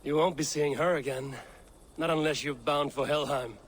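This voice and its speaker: An actor playing a determined, commanding Viking warrior in a video game, speaking bluntly and tersely. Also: male voice, a little high, moderate pace, a little hiss or hum, close to an everyday voice. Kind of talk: video game cutscene acting.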